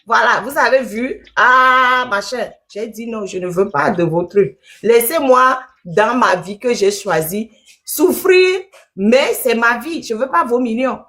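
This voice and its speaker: A woman's voice: on the high side, lively intonation, measured pace, a bit bright. A middle-aged woman from Togo, a lawyer and activist, insisting and repeating her points in a heated group discussion.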